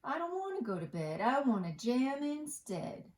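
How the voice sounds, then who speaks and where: Lady doing a playful platypus in a kids book, high voice, childlike, roomy echo. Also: sing-song, moderate pace, darkish tone. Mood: eager, defiant. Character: playful, restless male platypus eager to jam. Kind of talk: children's picture-book read-aloud.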